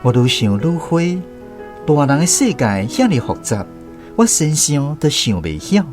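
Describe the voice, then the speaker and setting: A man's voice: mid-range voice, very animated, unhurried, over music. An actor with a Taiwanese accent playing a man who narrates memories of his boyhood apprenticeship in a scripted radio drama. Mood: puzzled, reflective. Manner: reflective storytelling, explains as he goes.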